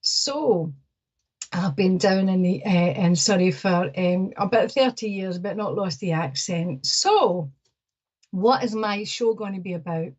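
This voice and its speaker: A woman's voice: mid-range voice, a little slow, darkish tone, lo-fi sound. A middle-aged Scottish woman hosting a live psychic show, chatty and openly nervous. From Ayrshire, Scotland. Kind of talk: casual chat to viewers.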